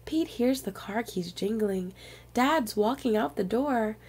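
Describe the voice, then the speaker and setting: High, mellow, slightly husky woman reading storytime. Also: animated, slow pace. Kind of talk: picture book read-aloud for young children.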